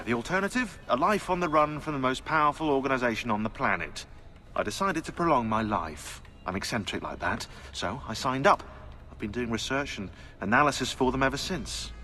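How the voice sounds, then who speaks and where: An actor playing a sardonic, eccentric British conspiracy researcher turned assassin in a video game, chatty, opinionated and sarcastic. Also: male voice, medium pitch, very animated, normal speed, sharp tone, soft background music. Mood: wry. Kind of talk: game cutscene dialogue.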